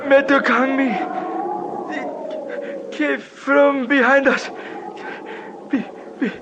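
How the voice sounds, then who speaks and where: An actor playing a badly injured, deferential Himalayan guide, halting and weak with pain, in an old radio drama. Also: male voice, extremely high pitch, flat delivery, full character voice. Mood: pained, frightened. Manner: halting, broken phrases, formal pleading. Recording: muffled, noisy old radio recording.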